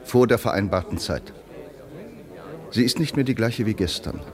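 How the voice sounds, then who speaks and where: Actor as a deep-voiced, grave poet narrator. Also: male voice, natural voice. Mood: quietly observant. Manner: measured, literary, reflective. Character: grave, enraptured male poet recalling his muse. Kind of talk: first-person radio drama narration.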